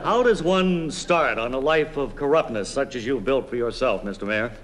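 A man playing an earnest, respectful radio news interviewer, asking measured, polite questions in a deadpan comedy parody. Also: mid-range voice, close to an everyday voice. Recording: noisy, reverberant old radio recording.

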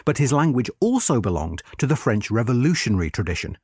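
A male narrator reads a scripted magazine piece in a very animated, rising and falling voice. His tone has a husky grain, and he places his stresses deliberately. The sound is dry, with no room around it. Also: mid-range voice, dry recording. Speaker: narrator reading a history magazine article.

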